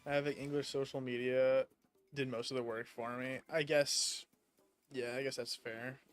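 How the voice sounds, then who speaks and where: A man's voice: medium pitch, flat delivery, normal speed, faint music behind. A young man from the United States chatting casually while gaming, rambling with lots of fillers and casual swearing. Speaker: streamer.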